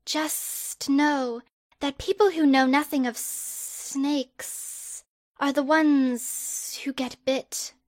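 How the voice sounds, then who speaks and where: A woman voicing a soft-spoken, quietly menacing boy student who talks slowly in a hushed, breathy way. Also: sits high, fairly even intonation, no room sound.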